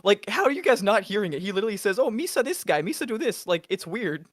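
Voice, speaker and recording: A man's voice: high voice, big pitch swings, quick pace, no echo. Podcast co-host, male, dry close mic, worked-up and argumentative, stumbling over himself. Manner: argumentative, exasperated, many restarts. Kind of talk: casual chat.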